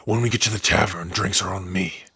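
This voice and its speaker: A gruff orc adventurer, acted by a man, with a low voice that is very raspy. He is an easygoing, protective outcast with a wry streak, talking straight to his companion in a fantasy ASMR roleplay. The sound is dry and close. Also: steady tone, a little fast, sharp tone, no room sound, full character voice. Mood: warm, amused. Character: rough, kind-hearted male orc outcast, protective wandering adventurer.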